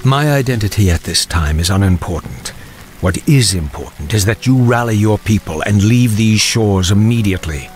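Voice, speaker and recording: An actor playing a solemn old prophet in a fantasy game, speaking in a grave, portentous, measured way. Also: male voice, fairly low pitch, expressive, soft background music, natural voice. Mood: solemn, urgent. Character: mysterious old male prophet, solemn and foreboding. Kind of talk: dramatic fantasy game voice acting.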